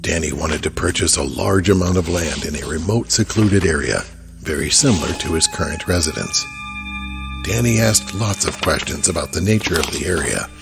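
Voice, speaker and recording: A man narrating a horror audio drama in a measured, even storytelling voice. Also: rumbling low, gravelly, over music.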